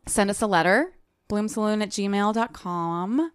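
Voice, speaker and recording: A woman's voice: medium pitch, measured pace, clear, resonant tone, dry recording. Woman podcast host, dry close sound. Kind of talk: casual book-discussion chat.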